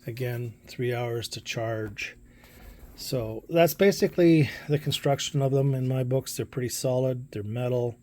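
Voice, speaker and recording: Mellow-voiced man reviewing gear off the cuff. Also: medium pitch, measured pace. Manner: hesitant, plainspoken, thinking aloud. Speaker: product reviewer. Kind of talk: casual unscripted product review talk.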